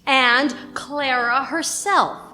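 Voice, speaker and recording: A woman's voice: high-pitched, extremely slow, sharp tone, heavily acted. A woman playing a ruthless, persuasive radio station schemer with an assertive, emphatic delivery in a radio play. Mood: excited scheming.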